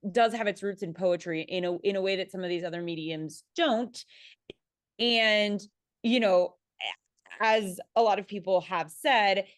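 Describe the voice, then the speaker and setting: A young woman speaking as a panelist in a conversational, animated and self-deprecating way. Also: medium pitch, no room sound, studio quality. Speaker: panelist, writer.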